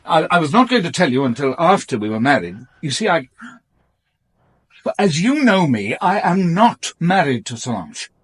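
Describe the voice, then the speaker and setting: Husky, evasive male lover. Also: on the high side, very animated, moderate pace, a bit bright, full character voice. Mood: earnest, defensive. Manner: stammering when pressed. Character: smooth-talking, evasive married lover pleading with younger mistress. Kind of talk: radio drama acting.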